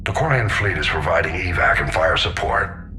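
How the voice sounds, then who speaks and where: A man's voice: low-pitched, lively intonation, moderate pace, bright, forceful tone. A man playing a stern, plainspoken older fleet admiral who mentors, in a video game. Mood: grave, encouraging. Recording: clear background ambient noise.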